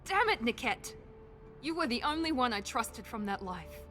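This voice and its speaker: A woman's voice: a little high, very animated, normal speed, fairly bright tone, soft background music. An actress voicing a proud, guarded woman who is fiercely protective of her sister, speaking with controlled intensity in a video game. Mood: hurt, angry.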